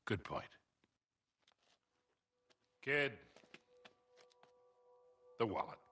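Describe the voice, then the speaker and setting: Wry, bright-voiced American con man. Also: mid-range voice, big pitch swings, drawn-out words, husky, faint music behind, exaggerated voice. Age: middle-aged. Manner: wry, colloquial. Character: wry middle-aged street-smart con man, gruffly protective. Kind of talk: video game cutscene acting.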